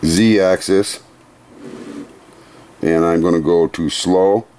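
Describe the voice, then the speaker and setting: Middle-aged male demonstrator, workshop, low pitch, slow methodical plain delivery. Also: fairly even intonation, dark tone, slight background noise. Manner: unhurried, methodical, plain-spoken with small restarts. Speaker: CNC machine demonstrator. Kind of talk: hands-on equipment demonstration. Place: workshop beside a CNC router.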